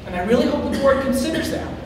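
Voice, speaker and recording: A woman with a loud voice giving earnest, worried public comment at a town board hearing, repeating her points. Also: high-pitched, expressive, quick pace. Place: large town meeting hall, far from the mic. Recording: echoey hall, distant mic, faint hiss.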